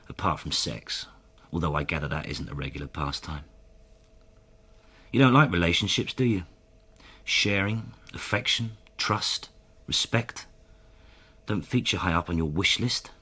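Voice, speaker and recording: An actor playing a hard, sardonic detective inspector in a radio drama, needling and sarcastic, with a husky voice. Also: male voice, sits low, lively intonation, a little slow, pauses often, sharp tone, full character voice. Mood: coldly needling.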